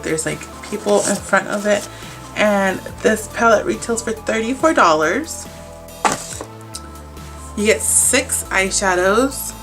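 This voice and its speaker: A woman's voice: medium pitch, gravelly, a bit bright. A young woman vlogger with a congested, stuffy voice from a cold, chatting casually and rambling with lots of "like" and "um" as she unboxes beauty products. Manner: chatty, rambling, many likes and ums.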